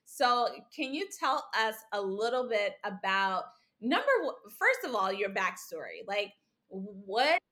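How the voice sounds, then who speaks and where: A woman's voice: medium pitch, big pitch swings, a little slow, bright, forceful tone. A woman hosting a podcast, asking long, encouraging questions of her guests. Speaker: podcast host. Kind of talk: podcast interview.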